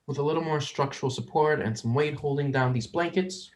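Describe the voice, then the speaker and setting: A man's voice: fairly high pitch, fairly quick, lightly acted. A man playing an awkward, defensive psychologist with a hesitant, dry manner in a dramatic script reading. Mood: puzzled, earnest. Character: awkward, defensive adult psychologist working with young children.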